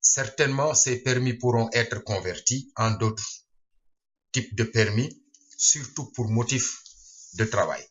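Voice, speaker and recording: A man reading a scripted news explainer aloud in short, halting phrases. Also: medium pitch, a bit bright, muffled recording. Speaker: reader and translator of a news bulletin.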